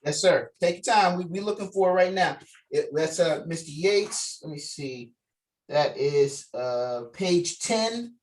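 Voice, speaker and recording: Distant, higher-voiced man directing a table read. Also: expressive. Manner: halting, repeats phrases as he directs. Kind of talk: casual, jokey group direction. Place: remote video call. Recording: distant-sounding video call audio.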